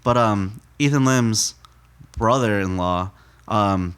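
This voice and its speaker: A man in his thirties, a donut shop owner, telling his story in a relaxed, rambling way with lots of fillers. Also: lowish voice, slow, clear, resonant tone. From United States. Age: 30s. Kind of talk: casual interview storytelling.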